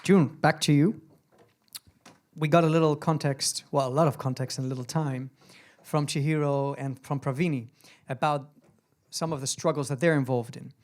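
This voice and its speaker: A man's voice: a little high, fairly even intonation, normal speed, darkish tone. A man in his thirties moderating a live panel informally into a handheld microphone. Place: small screening room, indoors.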